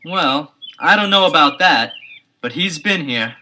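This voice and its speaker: A man's voice: on the high side, animated, bright, forceful tone, heavily acted. An actor playing an earnest teenage boy detective in a 1970s audio adventure, delivering lines with urgency and care. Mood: alert. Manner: urgent, deliberate theatrical delivery. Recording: 1970s LP drama with music underneath.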